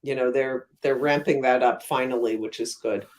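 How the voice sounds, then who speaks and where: A woman speaking as an academic guest in an interview, explaining thoughtfully and haltingly, stressing key words. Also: rumbling low, fairly even intonation, darkish tone. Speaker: guest academic, economist and author.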